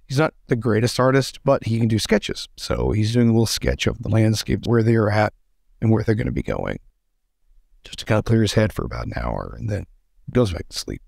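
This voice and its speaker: A man's voice: on the low side, gravelly, darkish tone, dry recording, clean studio sound. A man chatting casually as a player in a tabletop role-playing game, improvising his character's story.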